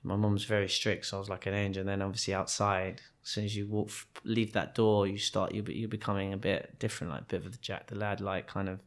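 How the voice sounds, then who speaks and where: A man's voice: fairly low pitch, level tone, fast, few pauses. A young man from Kenya, a podcast guest, telling his story in a reflective, rambling way with frequent restarts and fillers.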